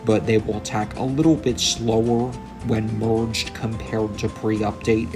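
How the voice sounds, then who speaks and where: A young man walks through game balance changes in a slow, low, gravelly voice, sounding tired and like he is coming down with a cold. His delivery stays flat and hesitant, full of ums. Game music plays clearly under his voice. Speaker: gaming YouTuber. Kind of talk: gameplay commentary on patch notes.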